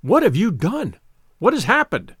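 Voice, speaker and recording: A man voicing an angry, impatient gentleman in a storybook reading, sharp, curt and demanding. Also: high voice, sing-song, unhurried, no echo, clean studio sound. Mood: alarmed demanding. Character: angry, impatient gentleman interrogating his servant.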